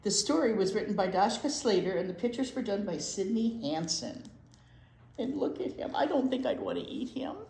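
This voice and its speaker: Older woman, animated pitch, playful casual chat for small children, roomy echo. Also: moderate pace. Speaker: children's storytime teacher. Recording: echoey room.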